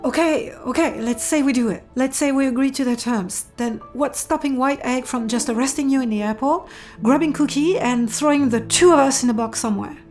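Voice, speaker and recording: A woman performs an anxious young woman with a raised, youthful pitch. Her delivery is natural and questioning, hesitant yet stubborn, as in an audio drama with light music behind her. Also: fairly quick, hardly any pauses, a little mellow, lightly acted. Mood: doubtful, pressing.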